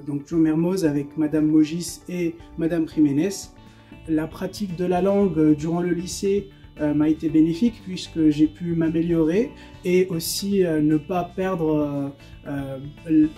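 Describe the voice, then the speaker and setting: A man's voice: on the high side, warm tone. A young adult man, a native Arabic speaker, talking hesitantly with frequent fillers. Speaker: former student giving a testimonial.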